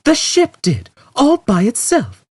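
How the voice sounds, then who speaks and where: A man voicing a patient, firm woman aboard a spaceship in an audiobook, bright and compassionate in manner. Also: very high voice, wildly swooping pitch, takes it slowly, husky, dry recording, studio quality, pitched above the performer's own voice, heavily acted. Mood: matter-of-fact.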